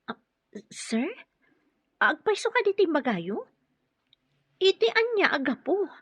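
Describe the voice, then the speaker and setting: A woman's voice: very high voice, big pitch swings, slow, no room sound, dull audio, full character voice. A woman acting as a humble, flustered young female employee, deferential and hesitant, in an Ilocano radio drama. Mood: astonished, flustered.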